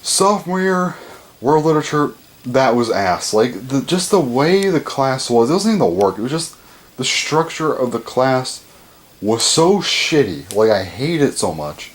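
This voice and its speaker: A seventeen-year-old young man rambling casually, with lots of fillers and the odd swear, his pitch jumping up when he stresses a word. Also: sing-song, unhurried. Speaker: vlogger.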